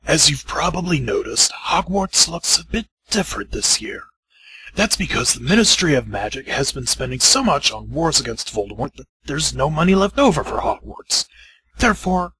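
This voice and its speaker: A man voicing an old headmaster with a husky, rough voice, announcing theatrically in an amateur parody reading. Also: on the high side, sing-song, moderate pace, bright, forceful tone, heavily acted, poor audio. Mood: grand, welcoming. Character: old male school headmaster, grandly selling out to sponsors. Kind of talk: amateur dramatic reading of parody fan fiction.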